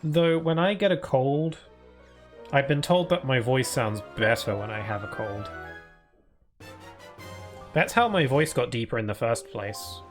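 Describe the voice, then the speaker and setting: A man chats casually through a live game stream, rambling with frequent fillers and self-corrections. His voice sits in an ordinary male pitch and carries what he calls a permanent cold voice. Faint game music plays quietly behind him. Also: lively intonation, a little slow, long pauses. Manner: rambling, loose with many fillers and restarts; answers viewer chat aloud. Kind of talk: casual live-stream chat while playing.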